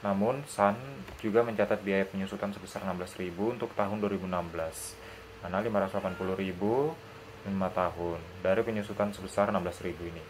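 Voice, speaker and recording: A young man reads out lesson material as a student presenter. His voice is low, with faint noise behind it. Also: poor audio. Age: young adult.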